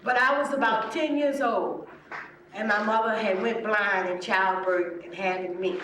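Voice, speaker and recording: A woman's voice: mid-range voice, fairly bright tone. A woman from New Orleans telling a personal story to a group, plain-spoken and colloquial. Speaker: participant sharing with a group. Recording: very echoey room, distant, clear noise.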